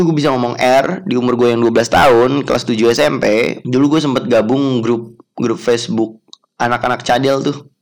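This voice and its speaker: A young man, talking casually on his podcast in a chatty, self-mocking way with many fillers and laughs. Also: mid-range voice. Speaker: podcast host.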